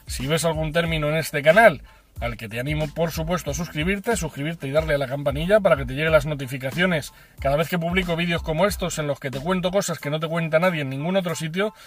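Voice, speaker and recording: Chatty man, fairly high voice. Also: fast. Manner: chatty, frequent fillers and self-corrections, ironic asides. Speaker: host of a finance channel. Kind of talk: informal explainer to camera.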